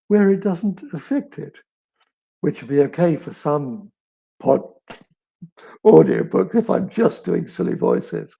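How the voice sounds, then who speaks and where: Crackly, gravelly middle-aged man, thoughtful storyteller. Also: a little high, dark tone. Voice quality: crackly, raspy, frog in the throat. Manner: thoughtful, halting, with dramatic pauses and emphasis. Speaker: author answering audience questions. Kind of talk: reflective live chat, storytelling.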